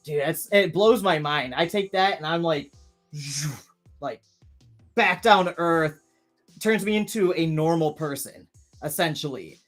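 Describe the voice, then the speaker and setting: A man's voice: a little high, unhurried, long pauses, a bit bright, soft background music. A man in his thirties hosting a live stream, chatting casually and rambling with many restarts while reading viewer comments. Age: 30s.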